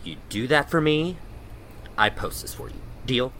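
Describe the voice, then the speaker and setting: An actor playing a mercenary blogger who teases and drives a hard bargain, with a smug manner. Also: male voice, mid-range voice, big pitch swings, unhurried, a bit bright, a little hiss or hum. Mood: flattering. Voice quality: smug, teasing.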